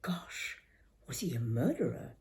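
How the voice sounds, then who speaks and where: A woman's voice: very deep voice, very animated, unhurried, a little mellow, exaggerated voice. An older woman voicing a polite, hesitant thirteen-year-old schoolgirl in a memoir reading, earnest and tentative. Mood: shocked. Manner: earnest, tentative, well-mannered.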